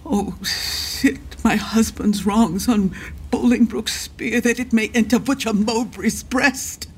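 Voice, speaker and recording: Husky, slow-spoken grieving widowed duchess. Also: female voice, a little high. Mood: grieving, desolate. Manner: slow, emotional, formal archaic verse. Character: grieving older widowed duchess, bitter and desolate. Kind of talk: Shakespearean verse radio drama.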